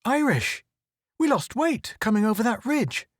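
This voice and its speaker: A man voicing a small, nervous, wisecracking photographer who talks in a quick, excitable, wry way. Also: sits high, no echo, studio quality, voice pushed up, full character voice. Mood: excited.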